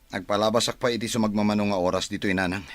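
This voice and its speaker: A man's voice: lowish voice, steady tone, very fast, no echo, natural voice. A man from the Philippines voicing a troubled, defensive grown son in an audio drama.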